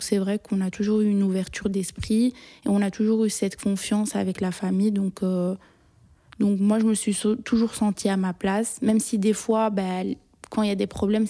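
Shy, hesitant woman, flat delivery. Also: medium pitch. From Belgium. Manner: shy, hesitant, many fillers and restarts. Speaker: interviewee. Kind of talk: hesitant personal interview answers.

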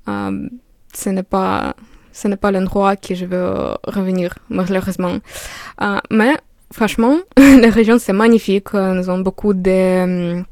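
Slow, gravelly young woman from Ukraine. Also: mid-range voice, a little mellow, dry recording, clean studio sound. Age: young adult. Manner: halting, laughs between phrases. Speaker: student. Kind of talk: interview answers.

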